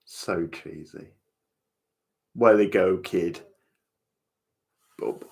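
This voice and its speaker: Man, deep voice, slow pace, casual watchalong host, informal commentary, short chatty reactions. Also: vocal fry.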